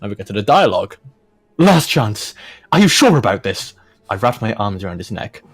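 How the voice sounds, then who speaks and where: A man's voice: on the low side, very animated, a little fast, husky. A man doing a jokey read-aloud of fan fiction, dropping in casual asides as he goes. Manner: breaks into laughter and commentary while reading. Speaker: host of a fan fiction reading video.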